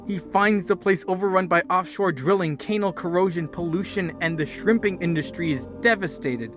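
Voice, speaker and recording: A man with a high-pitched voice reads a story aloud as a dramatic narrator. His delivery is theatrical and emphatic, with music playing behind him. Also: moderate pace, fairly bright tone. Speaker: narrator doing a dramatic reading. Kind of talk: dramatic reading of a written story.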